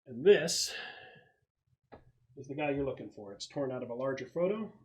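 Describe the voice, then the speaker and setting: A man's voice: medium pitch, animated, moderate pace. A man explaining and narrating casually as game master of a tabletop roleplaying session. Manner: explanatory, conversational.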